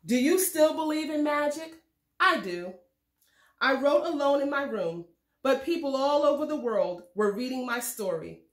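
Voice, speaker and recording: A woman narrates a children's picture book in a fairly high voice. Her phrasing is measured and expressive, as in a read-aloud for young listeners. The recording has a clearly echoey, roomy sound. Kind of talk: scripted storybook narration for children.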